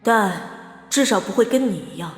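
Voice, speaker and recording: A woman playing a haughty, scornful young noble swordswoman in an animated drama, cold and condescending. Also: mid-range voice, moderate pace, lightly acted. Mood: aloof. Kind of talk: animated audio drama acting. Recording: echoey hall reverb, music behind.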